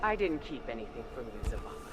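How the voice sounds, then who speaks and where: A woman voicing a composed, thoughtful mystic mentor in a video game, speaking in a measured way. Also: extremely low pitch, sing-song. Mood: defensive. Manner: measured, composed, self-searching. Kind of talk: video game cutscene voice acting. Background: orchestral game score.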